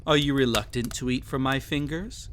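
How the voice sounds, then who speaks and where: A man's voice: medium pitch, moderate pace, a bit bright, slight background noise, close to an everyday voice. A man playing a cruel, possessive emperor in an intimate roleplay audio drama, silky and condescending, teasing as he pampers.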